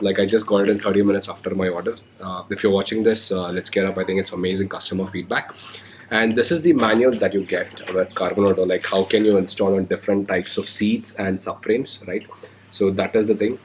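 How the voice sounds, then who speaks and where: A young man with an Indian English accent talks through a casual unboxing, his voice a little worn from a cold. He pauses often with hesitant fillers, and his voice sits on the lower side. A faint hiss runs under the recording. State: recovering from a cold. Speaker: motovlogger. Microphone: handheld recorder or camera mic.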